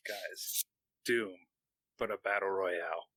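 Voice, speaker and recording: Low, bright-voiced wisecracking man. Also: slow pace, breathy edge, no echo. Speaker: podcast co-host. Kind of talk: casual chat.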